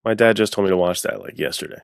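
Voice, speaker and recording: A man's voice: on the low side, steady tone, very fast, creaky, smooth, dry recording, clean studio sound. A man hosting a podcast, asking questions in an easygoing, slightly apologetic, chatty way. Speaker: podcast host. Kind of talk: casual chat interviewing.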